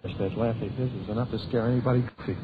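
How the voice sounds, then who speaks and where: A man's voice: mid-range voice, fairly even intonation, very fast, lightly acted. An actor playing a sarcastic American newsreel soundman, a needling, slangy sidekick in vintage radio drama style. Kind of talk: 1930s-40s radio acting. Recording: tinny, band-limited, noisy old radio recording.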